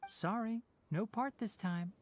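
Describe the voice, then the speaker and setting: A woman's voice: mid-range voice, unhurried, very noisy, close to an everyday voice. A woman voicing a knowledgeable young male forest ranger in a video game, instructive and matter-of-fact with dry sass. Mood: stern, reasoning. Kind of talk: scripted video game dialogue.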